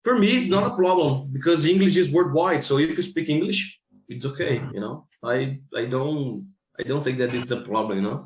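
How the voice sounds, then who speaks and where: A man from Brazil with a Brazilian accent and Portuguese as his native language, talking informally as an English teacher in a halting, repetitive way. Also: on the high side. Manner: halting, repeats phrases. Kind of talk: informal interview discussion. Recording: roomy, echoing sound.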